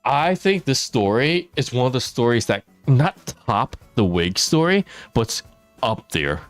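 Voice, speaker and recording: A man telling stories on a live stream while he plays a game, chatting with his viewers and laughing often. His loud voice is held down for the mic. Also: mid-range voice, very animated, measured pace, dry recording, soft background music. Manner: chatty, laughs often, reads and answers chat. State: mouth watering after dental work. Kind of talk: casual live-stream storytelling while gaming.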